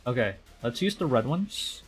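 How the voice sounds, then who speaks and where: A man livestreaming a visual novel, reading it aloud with excitable, dramatic delivery and chatty casual asides. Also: medium pitch, normal speed, husky. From Philippines. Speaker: streamer. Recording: music behind the voice. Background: game music.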